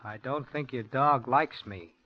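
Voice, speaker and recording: An actor playing a meek young handyman who speaks softly, slowly and fussily, in 1940s radio acting on a lo-fi old broadcast. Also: medium pitch, slight background noise, close to an everyday voice. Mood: quietly odd. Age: young adult. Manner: soft, slow, fussy. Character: meek, odd young male handyman, quietly unsettling.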